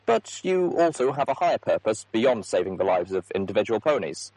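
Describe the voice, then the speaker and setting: Man voicing a husky, earnest pony executive. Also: lowish voice, big pitch swings, a little mellow, dry recording, rough recording. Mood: earnest, solemn. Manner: halting, official script slipping into frustrated asides. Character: famous female pony executive, earnest, rattled, recording an official message. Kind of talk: audiobook character monologue.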